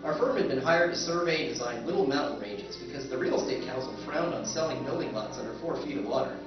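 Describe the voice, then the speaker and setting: A man's voice: medium pitch, lively intonation, fast, close to an everyday voice. A man narrating and playing a wry male surveyor, telling the story with dry humor in a live radio drama recreation. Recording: echoey hall, far mic, muffled, music.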